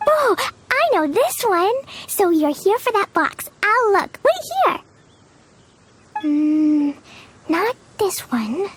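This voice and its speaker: A woman's voice: very high voice, sing-song, slow, slight background noise, exaggerated voice. A woman voicing a cheerful, innocent and rash little girl in a video game, with childlike, simple phrasing. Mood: eager, searching. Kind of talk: scripted video game voice acting. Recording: studio voice over game music.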